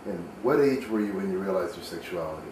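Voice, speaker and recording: A man's voice: low-pitched, expressive, fast. A man asking short, plain interview questions. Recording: echoey room, faint noise.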